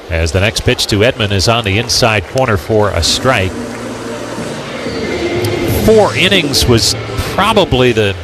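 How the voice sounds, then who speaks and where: A man doing live radio play-by-play commentary of a baseball game as the announcer. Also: medium pitch. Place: ballpark broadcast booth. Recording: ballpark outdoor background noise. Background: ballpark ambience, noise.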